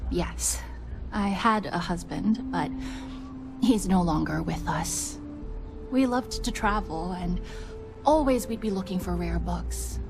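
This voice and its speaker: A woman's voice: lowish voice, takes it slowly, vocal fry, music in the background. A woman playing a wistful, principled bookseller in a video game, firm and earnest in manner. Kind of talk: video game character dialogue.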